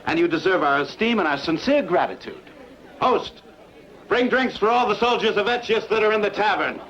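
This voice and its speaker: A man's voice: sits high, expressive, normal speed, a bit bright, some chatter behind. A man voicing a proud, generous and commanding Roman soldier hero in a fan-dubbed sword-and-sandal film. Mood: proud, hearty. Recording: muffled dubbed film audio, music behind.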